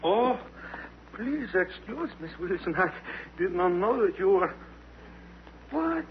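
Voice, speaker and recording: A slow, extremely high-voiced man, meek, emotional and tremulous. The old radio recording is thin and clearly noisy. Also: big pitch swings, lo-fi sound, heavily acted. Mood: startled, tearful. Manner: halting, apologetic, tremulous. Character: meek, emotional Polish male refugee. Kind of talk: 1950s radio drama acting.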